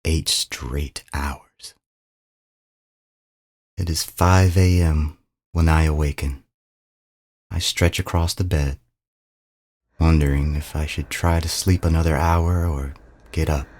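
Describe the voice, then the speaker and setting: A man narrating a scripted first-person story in a dramatic, brooding, deliberate manner. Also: a bass voice, steady tone, a little mellow.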